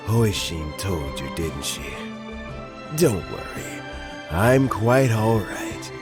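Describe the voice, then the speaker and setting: Deep-voiced, genial old statesman. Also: sing-song, full character voice. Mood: weary, reassuring. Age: older. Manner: courteous, measured, formal phrasing. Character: genial, dignified aging male statesman, frail but sharp. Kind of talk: fantasy video game voice acting. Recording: game music behind the voice. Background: background music.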